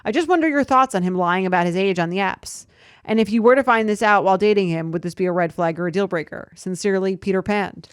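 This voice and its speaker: A woman's voice: medium pitch, quick pace, smooth, dry recording, studio quality. A woman co-hosting a podcast in casual chat, blunt with wry asides, and reading out a listener email. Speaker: podcast co-host.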